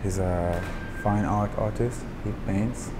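A man in his thirties from Syria, with a Syrian Arabic accent, speaking in a soft-spoken, reflective documentary interview. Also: lowish voice, steady tone, slow pace. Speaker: artist. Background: background music.

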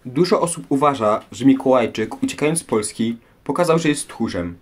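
Male host, average pitch, scripted presenting and questioning for history audio. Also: fairly quick, husky.

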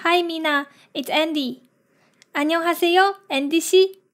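High-pitched woman reader, extremely slow, evenly spaced lesson delivery. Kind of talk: slow reading of phrases and translations.

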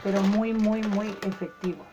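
A woman calmly explains a home ritual step by step, talking to her viewers as friends. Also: medium pitch, moderate pace, muffled recording, faint music behind. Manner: calm, instructive, addresses viewers as friends. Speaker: ritual channel host. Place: indoors at a table, hands only in shot.

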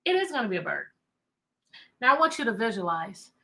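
A middle-aged American woman hosting a chatty, interactive livestream for children, animated and repeating phrases and questions to draw listeners in. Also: on the high side, brisk, gravelly. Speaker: teacher, children's read-aloud host. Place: indoor room with bookshelves.

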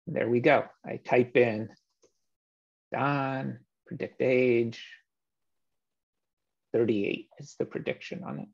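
Man, 30s, meetup coding presenter; slow, gravelly, filler-heavy delivery; muffled video-call audio. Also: mid-range voice. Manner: relaxed, explanatory, many "um" fillers. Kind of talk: screen-share coding walkthrough. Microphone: webcam or laptop mic on a video call.

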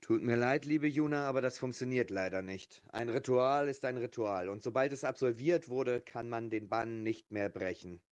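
An actor playing a stern, theatrical grand magician passing judgement in a children's audio drama. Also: male voice, medium pitch, moderate pace, few pauses, darkish tone, lo-fi sound, lightly acted. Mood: firm, calm. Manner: commanding, theatrical.

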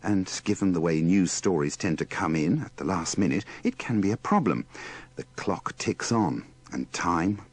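A man's voice: sits low, animated, normal speed, slightly rough. A British man narrating as a scripted presenter with a wry, tongue-in-cheek manner. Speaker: presenter linking a radio bloopers compilation.

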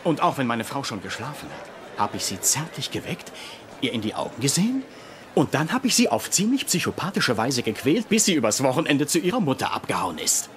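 An actor playing a sarcastic, gruff senior doctor who secretly cares, in a German-dubbed TV comedy-drama. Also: male voice, medium pitch, huge pitch swings, brisk, a little hiss or hum. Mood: wry. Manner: sarcastic, ranting.

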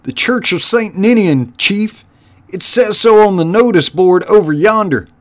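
A man's voice: expressive, measured pace. A man voicing a nervous, cringing rat henchman from a storybook, squawking servile reports to the chief. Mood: eager, servile. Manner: high-pitched servile squawk, eager to obey. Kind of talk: storybook character voice.

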